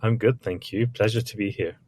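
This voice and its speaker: A man's voice: lowish voice, steady tone, fairly quick, darkish tone. A man, an author and entrepreneur, answering interview questions in a candid, chatty way with frequent restarts. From Iraq, raised in England. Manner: candid, chatty, with many restarts and little acted quotes.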